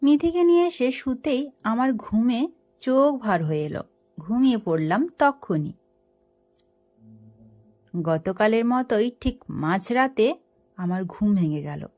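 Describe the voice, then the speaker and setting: High-pitched woman narrating a story. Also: mellow. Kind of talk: scripted dramatic narration.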